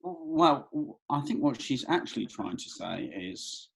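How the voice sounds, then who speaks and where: A man's voice: mid-range voice, big pitch swings, measured pace, creaky, slightly rough, full character voice. An actor playing a cheeky, bumbling young British man, colloquial and hesitant, in a read-through of a comedy script. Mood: backpedalling. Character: easygoing, cheeky, bumbling young bloke at festival.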